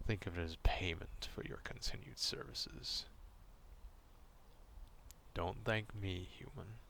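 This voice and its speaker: A man acting out a gruff, proud, distrustful werewolf in an ASMR roleplay, stern and curt but grudgingly caring, in a gravelly half-whisper. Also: on the low side, slow pace, a bit bright, slight background noise, exaggerated voice. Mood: grudgingly generous.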